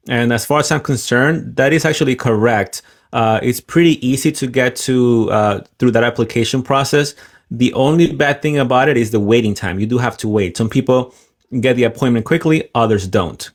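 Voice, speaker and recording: Calm man hosting a livestream. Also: medium pitch. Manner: calm, measured, explaining. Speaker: host. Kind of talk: livestream talk moderating.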